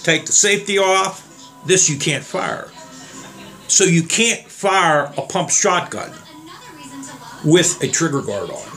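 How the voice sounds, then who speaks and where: A slightly hoarse man talking casually and rambling as he tests trigger locks on guns for his viewers. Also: fairly high pitch, very animated, slow, pauses often, pushed and bright, faint music behind. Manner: rambling, plain-spoken, addresses viewers as guys. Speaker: gun reviewer. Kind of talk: casual demo vlog.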